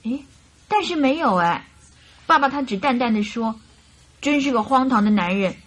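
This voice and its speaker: A woman's voice: high-pitched, lively intonation, normal speed. A woman playing a naive, devoted young lady in distress in a radio drama, pleading and girlish. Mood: subdued, timid. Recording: radio drama with music behind.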